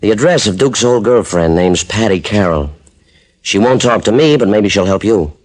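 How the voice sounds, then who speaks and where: A man's voice: lowish voice, expressive, moderate pace, close to an everyday voice. An actor playing a smug, sarcastic male nightclub owner in a 1950s radio drama. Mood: sneering. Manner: sneering, wisecracking. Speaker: club owner.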